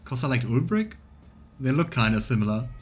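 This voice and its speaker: A man's voice: medium pitch, big pitch swings, a little fast, husky. A man streaming a game, reading its text aloud as narration and adding casual comments of his own. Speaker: streamer, let's player.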